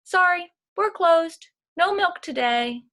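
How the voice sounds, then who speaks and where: High, bright, insistent farm animal voiced by a woman. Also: lively intonation, exaggerated voice. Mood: defiant. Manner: letter-reading, deliberate, emphatic. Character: polite but insistent storybook farm animals making demands.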